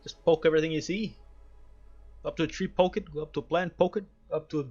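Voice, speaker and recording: A man's voice: fairly high pitch, fairly even intonation, fast talker, mellow. A man giving wry, casual let's play commentary, reacting aloud to a video game in asides. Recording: dull lo-fi mic, faint music behind.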